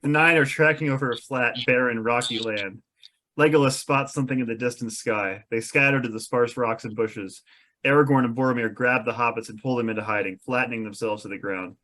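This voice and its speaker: Mid-pitched man reading stage directions. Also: fairly even intonation, brisk, bright, forceful tone. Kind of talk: scripted narration.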